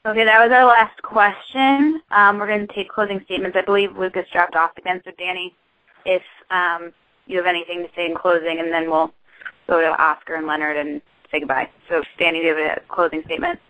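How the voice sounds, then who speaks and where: A woman moderating a press teleconference in a brisk, businesslike way. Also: lowish voice, little pitch movement, sharp tone, no room sound, poor audio. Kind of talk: hosting a press call.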